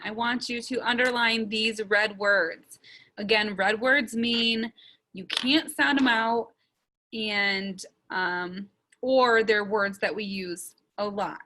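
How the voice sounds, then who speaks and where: A young woman teacher recording a writing lesson for small children, sounding out each word slowly and stretching the letter sounds. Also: fairly high pitch, sharp tone.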